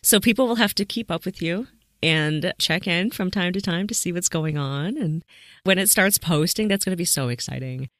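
A woman's voice: lowish voice, moderate pace, dry recording, studio quality. Effusive woman podcast host. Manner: warm, effusive hosting, "so exciting". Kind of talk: podcast interview hosting.

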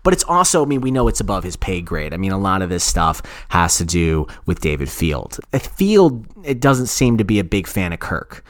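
A man's voice: deep voice, very animated, normal speed, few pauses, no echo, clean studio sound. A man co-hosting a podcast, talking casually and giving opinions in a profane, rambling way with repeated phrases. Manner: profane, repetitive, rambling explanations. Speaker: podcast co-host. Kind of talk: casual opinionated talk about sports radio.

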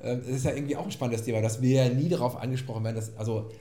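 Fast-talking, mellow-voiced man in an echoey room. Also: medium pitch. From Belgium. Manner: fast, thoughtful, musing. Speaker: podcast co-host. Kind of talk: casual chat. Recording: roomy echo.

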